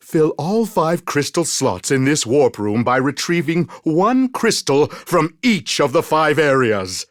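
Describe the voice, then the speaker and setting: Scheming video game villain, mad scientist, higher-pitched, pompous, exasperated, wheedling, very dry close recording. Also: male voice, dry recording. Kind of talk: theatrical video game voice acting.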